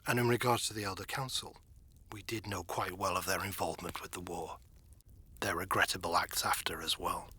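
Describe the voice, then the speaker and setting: Man acting a very husky, polite church dignitary. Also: deep voice, steady tone, brisk, sharp tone, full character voice. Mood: polite, assured. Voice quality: very husky, breathy. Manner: formal, polite, controlled. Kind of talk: dramatic audio roleplay acting.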